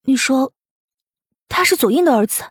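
An anxious, soft-hearted mother in an audiobook, voiced by a woman. She questions with emotional urgency in a lively, swooping, slightly husky voice, recorded dry with faint music behind. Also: fairly high pitch, a little fast, dry recording, voice pushed up. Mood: surprised, eager. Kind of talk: audiobook character acting.

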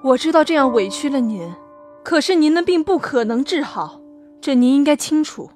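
A woman's voice: high-pitched, expressive, moderate pace, a little mellow, faint music behind. A woman voicing an impatient, desperate daughter-in-law of thirty-eight in an audio drama, urgent and argumentative. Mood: pleading. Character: desperate, impatient 38-year-old daughter-in-law, sharp-tempered. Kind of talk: acted audio drama dialogue.

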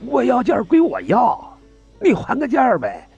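A man playing a chatty, courteous trader in an audio drama, wheedling in colloquial, drawn-out sales patter with a slightly husky voice. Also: very high-pitched, wildly swooping pitch, a little mellow, muffled recording, faint music behind, full character voice. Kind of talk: Chinese audio drama dialogue.

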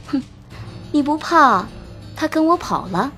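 A woman voicing a cool, weary young woman composer in an audiobook, calm, dismissive and softly sarcastic. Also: sits high, measured pace, soft background music, full character voice. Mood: mocking.

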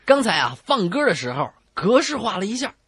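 Animated woman co-hosting comedy radio, slightly husky. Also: medium pitch, a little fast, no room sound. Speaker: comedy radio show co-host. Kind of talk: playful scripted joke-telling banter.

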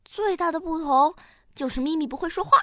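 Woman acting a high-voiced, curious little girl. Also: fairly quick, no room sound, clean studio sound. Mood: puzzled. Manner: eager, questioning, childlike. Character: curious, quick-witted little granddaughter. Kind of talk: radio drama acting.

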